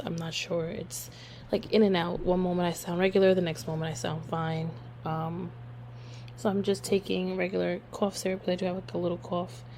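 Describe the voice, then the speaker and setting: A young woman hosting her own podcast, hoarse from losing her voice, chatting casually and rambling with lots of fillers. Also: fairly low pitch, a little hiss or hum. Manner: rambling, filler-heavy, relaxed. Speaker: podcast host. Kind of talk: casual solo podcast chat.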